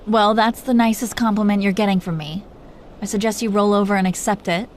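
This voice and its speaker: A woman's voice: mid-range voice, steady tone, a little fast, slight background noise, lightly acted. A woman playing a protective, doting dragon queen who teases her lover tenderly in an intimate roleplay. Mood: teasing, fond. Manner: tender, playfully teasing, breathy whispers at times. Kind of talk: intimate roleplay audio, soft acting.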